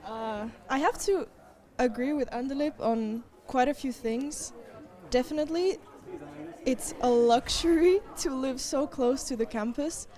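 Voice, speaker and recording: Young woman student talking about her studies, slightly high voice, pauses a lot to think. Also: dark tone. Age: young adult. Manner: thoughtful, with frequent pauses and fillers. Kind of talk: interview answers.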